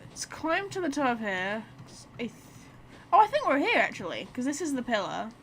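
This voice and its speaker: A woman's voice: high voice, very animated, normal speed, a bit bright, slight background noise. A woman game streamer giving casual, playful commentary, chatting and thinking aloud as she plays. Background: video game sounds.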